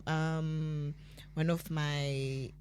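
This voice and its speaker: Zimbabwean woman co-host, low voice, anecdotal and code-switching, slight background hum. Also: little pitch movement, very slow, a little mellow. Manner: chatty, tells anecdotes, mixes Shona into English. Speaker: podcast co-host. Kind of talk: casual chat.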